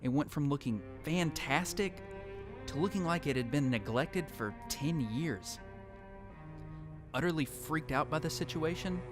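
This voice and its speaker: A man's voice: mid-range voice, lightly acted. A man playing a lone apocalypse survivor who tells his story in earnest, dramatic first-person narration for an audio drama. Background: music.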